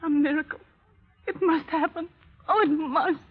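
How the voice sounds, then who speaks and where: A woman's voice: sits high, normal speed, lightly acted. A woman playing an anxious, pleading young wife and mother in an old radio drama, urgent and melodramatic. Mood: agitated. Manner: melodramatic, urgent, breathlessly earnest. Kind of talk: 1930s radio drama acting. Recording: thin, lo-fi old radio recording.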